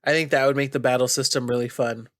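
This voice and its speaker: A man's voice: mid-range voice, steady tone, fast talker, fairly bright tone, dry recording, clean studio sound. A man with a nasal voice, co-hosting a podcast about video games in a casual, chatty style. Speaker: podcast host.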